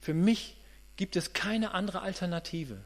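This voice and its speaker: A man's voice: high voice, unhurried. A man in his late fifties giving a conversational, earnest sermon, often restarting and repeating words as he thinks aloud. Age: 50s. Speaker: preacher. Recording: reverberant hall with mains hum.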